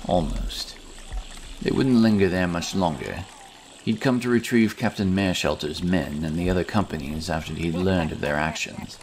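A man reading a scripted fantasy story as an audiobook narrator, in a dramatic, deliberate manner. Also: low voice, darkish tone, soft background music.

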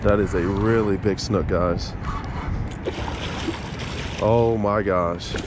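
A man with a fairly low voice talks viewers through his fishing from a small boat out on open water. He keeps up an eager running commentary. His head-mounted camera picks up clear boat and water noise around him. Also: fairly even intonation, takes it slowly. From Florida. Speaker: fishing vlogger. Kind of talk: first-person fishing narration. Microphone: head-mounted action camera.

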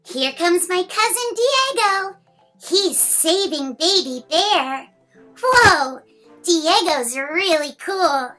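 A woman's voice: very high-pitched, animated, slow pace, pushed and bright, soft background music. A woman in her thirties reading a picture book aloud to young children, playful and exaggerated, asking the listeners questions.

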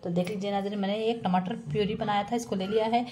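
A woman's voice: mid-range voice, steady tone, fast, warm tone. A woman narrating a home cooking tutorial in a friendly, chatty way, often greeting viewers and adding religious blessings as she cooks. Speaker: cooking vlogger. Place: home kitchen, beside a frying stove.

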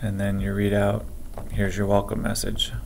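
A man with a low, gravelly voice walks through a coding tutorial, reading each line of code aloud in a flat, unhurried way. Faint background noise and keyboard typing sit behind him. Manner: slow, halting, dictates code aloud with many pauses. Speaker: programming teacher. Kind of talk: screen-recorded coding tutorial.

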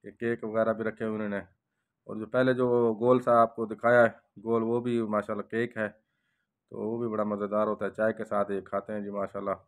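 A young man from Pakistan talks slowly to his handheld phone as he walks through a bakery. His low voice stays flat and even, and he keeps returning to prayers and blessings between his remarks. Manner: slow, devout, repeats blessings often. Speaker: vlogger. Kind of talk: casual walk-through vlog with prayers. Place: bakery shop indoors.

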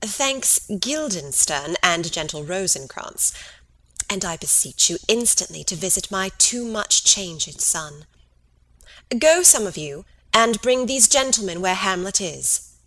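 A woman's voice: mid-range voice, sing-song, slow, a bit bright, full character voice, rough recording. An actress playing a dignified, courteous mature queen in a classical drama reading, formal and courtly. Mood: gracious, concerned.

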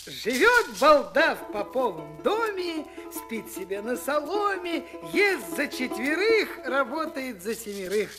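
A man narrating a verse fairy tale for a children's record, in a lively, rhythmic reading. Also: extremely high pitch, slow, hardly any pauses, music in the background. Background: folk instrument ensemble.

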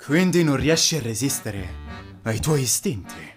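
A man's voice: medium pitch, sing-song, a little slow, faint music behind. A man voicing a proud, domineering young male red deer student in an anime fan dub, stern and intense. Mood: probing, demanding.

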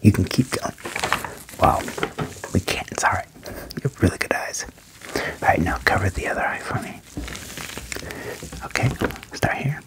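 A young man doing a soft ASMR medical roleplay in a breathy whisper, slow, soothing and reassuring, close to the microphone. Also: deep voice, rough recording. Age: young adult. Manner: slow, soothing, reassuring, repeating short praise. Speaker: ASMR roleplayer playing an alien doctor. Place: small indoor room at home. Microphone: close desk microphone with furry windscreen.

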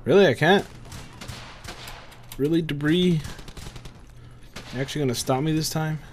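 A man's voice: on the high side, normal speed, slight background noise. A young man giving casual gameplay commentary, muttering to himself and swearing freely. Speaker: gamer recording a playthrough.

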